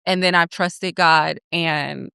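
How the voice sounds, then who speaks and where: A woman's voice: fairly low pitch, fairly even intonation, slow, vocal fry, bright, forceful tone, smooth, dry recording, clean studio sound. A young woman co-hosting a faith podcast, explaining her views earnestly and asking her co-host questions. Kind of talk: faith conversation.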